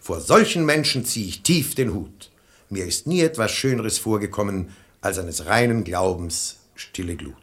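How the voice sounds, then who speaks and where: An actor playing a reasonable, ironic middle-aged brother-in-law in a German verse radio drama, eloquent and argumentative. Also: male voice, on the low side, lively intonation, moderate pace, lightly acted. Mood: earnest persuasion. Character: sensible, ironic brother-in-law reasoning against false piety.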